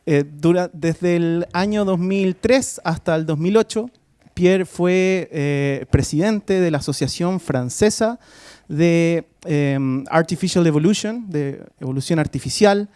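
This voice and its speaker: A man's voice: on the high side, unhurried, hardly any pauses, darkish tone, clean tone, no room sound, studio quality. Hesitant man introducing a guest. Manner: hesitant, many filler sounds, switches between Spanish and English. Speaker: faculty host introducing a guest speaker. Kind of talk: formal talk introduction. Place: university lecture hall.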